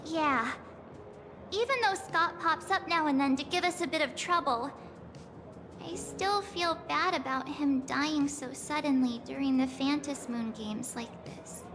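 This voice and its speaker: High-pitched, perky teenage girl adventurer. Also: over music. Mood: troubled, pensive. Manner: informal, expressive, hesitant pauses. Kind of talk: scripted video game dialogue, anime style.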